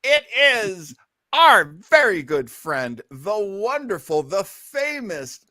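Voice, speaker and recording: A man's voice: high-pitched, sing-song, takes it slowly, sharp tone, no echo, studio quality. A man hosting a casual live online show in an effusive, folksy way with plenty of exclamations. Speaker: host of an online listening show.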